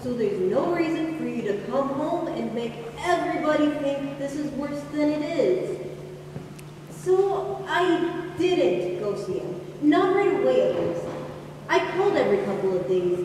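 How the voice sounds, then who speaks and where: A woman's voice: high voice, animated, measured pace, warm tone. A young woman playing a grown daughter wistfully recalling her father in a stage monologue. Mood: quoting parents, then wistful recollection. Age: teenager. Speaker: high school drama performer. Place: large indoor hall at a school play. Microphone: distant camera. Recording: echoey hall from far off, faint noise.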